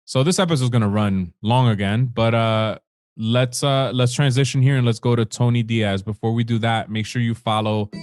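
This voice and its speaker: A man hosting a baseball podcast, talking casually and loosely, with fillers. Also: mid-range voice. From United States. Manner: relaxed, conversational, with fillers. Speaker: podcast co-host.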